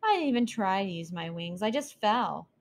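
A woman's voice: mid-range voice, lively intonation, normal speed, close to an everyday voice. A woman voicing a young, cranky, hungry boy owlet who whines and complains. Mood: whiny.

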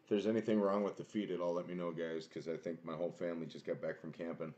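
A young man with a booming voice streaming a card box break from a small home room, chatting casually and greeting viewers by name. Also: flat delivery, fast talker, darkish tone, highs cut off. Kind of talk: casual live-stream chat.

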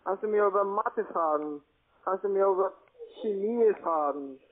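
A man's voice: sits high, moderate pace. A man with a foreign accent, speaking as a non-native in broken phrasing, mocking and provoking in a phone argument. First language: not German. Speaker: call-centre scam caller. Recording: narrow, tinny phone-line sound.

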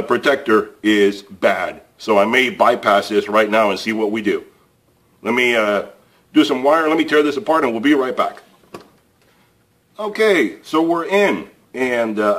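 A man's voice: on the low side, a bit bright. A man hosting a casual hands-on repair tutorial, thinking aloud with fillers as he works in an indoor workshop. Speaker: DIY repair channel host. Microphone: camera overhead of workbench, voice off-screen.

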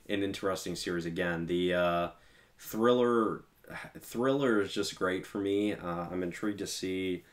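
A young man in his twenties with a very low voice talks enthusiastically in a rambling way. He leans on fillers and doubles his words. He sits at a desk in a small bedroom, recorded on a webcam setup. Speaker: reaction channel host. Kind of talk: casual reaction commentary.

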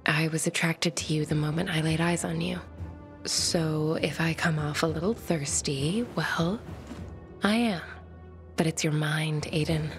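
An actress playing a bookish, flirtatious woman survivor in a video game, speaking in articulate, measured phrases. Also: lowish voice. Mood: flirtatious, intimate. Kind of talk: video game cutscene acting.

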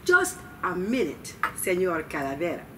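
A woman's voice: lowish voice, huge pitch swings, moderate pace, bright, forceful tone, distant mic, slight background noise, full character voice. Woman putting on a sing-song, stalling storybook grandma. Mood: cheerful stalling. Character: spry, stalling old grandmother, cheerfully polite trickster.

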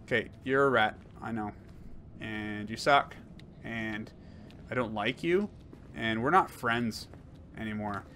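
A man in his thirties commenting on a horror game he plays, rambling and jokey, swinging from mumbles to yells. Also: lowish voice, slow pace, long pauses, a little hiss or hum. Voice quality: hoarse at times. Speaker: gaming YouTuber. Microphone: headset.

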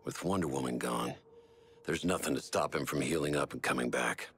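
A man's voice: extremely low pitch, fairly quick, a bit bright, a little hiss or hum. A man playing a tough, skeptical soldier in a game, blunt and challenging. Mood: grim. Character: tough, skeptical male soldier on a villain squad. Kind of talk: video game cutscene acting.

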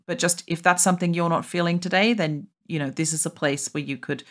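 Casting: podcast host from Australia, somewhat low voice, brisk and wry, recorded on a video call. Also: female voice, a bit bright. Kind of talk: casual chat and announcements.

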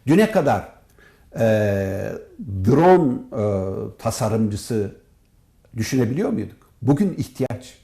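A man speaking as a professor and interview guest, giving formal, emphatic and deliberate answers. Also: fairly low pitch, very animated, gravelly, warm tone. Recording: roomy echo.

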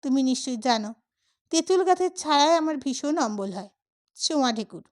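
A high-pitched female storyteller reads a humorous short story from a script. Her delivery is expressive audiobook narration, and she acts out the characters' lines as they come. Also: a little fast.

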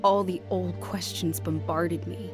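An actress playing an anxious, earnest young wife terrified of death, in a radio drama. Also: female voice, on the low side, steady tone, measured pace, over music, lightly acted. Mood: brooding. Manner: earnest, fretful. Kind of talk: radio drama acting and narration.

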